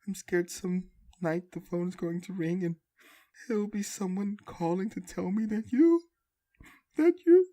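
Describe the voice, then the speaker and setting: Man voicing soft, high, anxious young wife. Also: measured pace. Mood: frightened. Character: anxious, tender young wife of a district attorney.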